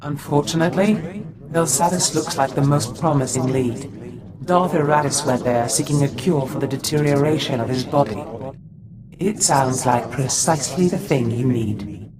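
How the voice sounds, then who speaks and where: An actor playing an earnest, dutiful scholarly Sith aide in a game, measured and formal. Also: male voice, medium pitch, a crowd in the background, close to an everyday voice. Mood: measured, concerned.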